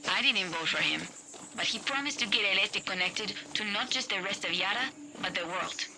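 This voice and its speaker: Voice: mid-range voice, animated, normal speed, background noise, close to an everyday voice. An actor playing a dry, matter-of-fact hacker ally in a video game.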